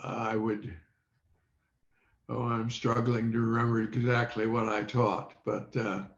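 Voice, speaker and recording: An older man speaking as an interview guest on a video call, halting and often searching for words. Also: mid-range voice, level tone. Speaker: interview guest, former quiz show contestant. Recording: muffled, echoey video call audio.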